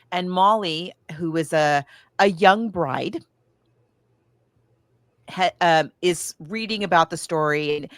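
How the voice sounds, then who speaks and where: A woman's voice: lowish voice, no room sound, clean studio sound. A woman hosting a podcast, casually discussing books and films in a chatty, enthusiastic way with emphatic turns of phrase. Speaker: podcast host. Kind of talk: casual book and film discussion.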